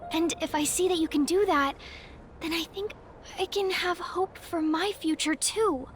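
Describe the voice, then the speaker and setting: A woman voicing a gentle, selfless teenage girl in a video game, earnest and soft-hearted. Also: very high-pitched, normal speed, slight background noise. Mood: tender resolve. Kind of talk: anime-style video game voice acting.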